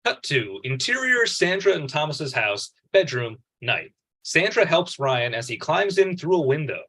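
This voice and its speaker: Lively man reading stage directions. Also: fairly high pitch, unhurried. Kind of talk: scripted narration at a table read.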